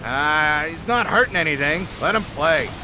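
A man voicing a bossy, dismissive henchman in a video game, griping gruffly and jittery. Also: fairly high pitch, animated, moderate pace, background noise, lightly acted. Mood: irritated.

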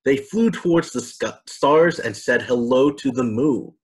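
A man in his thirties reading and presenting for children and families in an explanatory, deliberate way. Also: mid-range voice. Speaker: storybook reader and presenter. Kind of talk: scripted narration for children and families.

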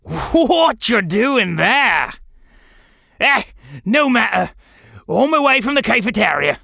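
Narrator putting on a rough, breezy British animal. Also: medium pitch, very animated, slow, sharp tone, no echo, clean studio sound, voice pushed up, heavily acted. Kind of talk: audio fiction character voice.

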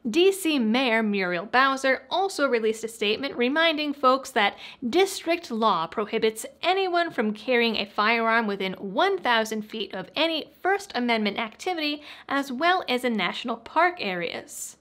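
A woman's voice: fairly high pitch, expressive, moderate pace, hardly any pauses, a bit bright. A young adult woman delivering a scripted news rundown as host, with wry, informal asides. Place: small indoor studio setup.

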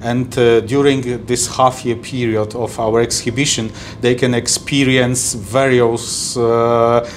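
A middle-aged man from Poland with a Polish accent, a trade agency executive answering interview questions in halting English full of fillers and restarts, recorded in an office by a camera at a distance. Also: mid-range voice, little pitch movement, slight background noise. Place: office, indoors.

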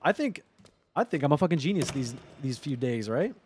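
A young man in his twenties streaming a horror game from home on a headset mic, joking loudly and swearing as he talks to chat. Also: medium pitch, a little fast, a little hiss or hum. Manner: loud, swearing, joking, animated. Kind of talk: casual gameplay commentary with chat. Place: home gaming room, indoors.

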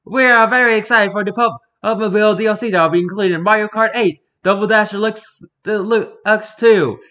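A man voicing an excitable, easily flustered cartoon Italian plumber, with a put-on Italian accent and halting, stumbling delivery. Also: high voice. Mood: excited, grateful. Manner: halting, stumbling over words.

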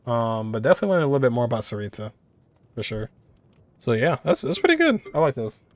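A young man hosts a casual game reaction, thinking aloud in an informal, musing way. His voice is mid-pitched and recorded dry, with no room sound. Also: very animated, fast, no room sound. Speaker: reaction video host.

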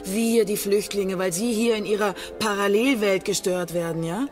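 A woman's voice: mid-range voice, moderate pace, music in the background, lightly acted. An actress playing a preachy female idealist in a radio crime drama, blunt and lecturing. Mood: indignant. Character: combative, preachy young female political idealist. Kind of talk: German radio drama acting.

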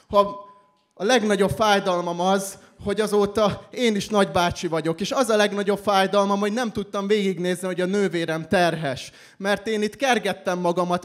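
A young man from Hungary reciting slam poetry live with an emphatic, dramatic delivery. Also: high voice. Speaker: slam poet performing.

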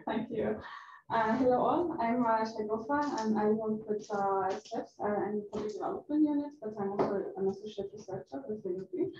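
A woman's voice: a little high, normal speed, darkish tone. A woman from Afghanistan with an Afghan accent, making an unscripted comment and question from a panel audience. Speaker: researcher asking from the audience. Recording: echoey room, distant mic, dull, faint noise.

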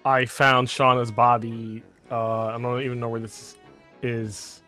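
A man's voice: mid-range voice, flat delivery, measured pace, bright, forceful tone, faint music behind. A man in casual game voice chat, reporting a find and tripping over a word.

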